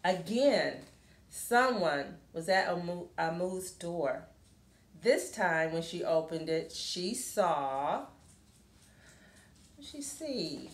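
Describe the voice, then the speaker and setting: Slow-paced woman reading a storybook, roomy sound. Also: fairly low pitch, very animated. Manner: deliberate, explains and asks listeners questions. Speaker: children's storybook reader. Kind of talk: expressive picture-book read-aloud with asides to children. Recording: roomy, echoing room sound.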